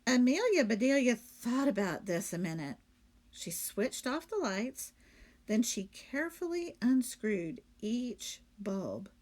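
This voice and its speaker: Slow, animated woman reading a storybook. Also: fairly high pitch. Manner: asks the young listeners questions. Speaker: teacher reading aloud. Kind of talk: expressive storybook narration for children.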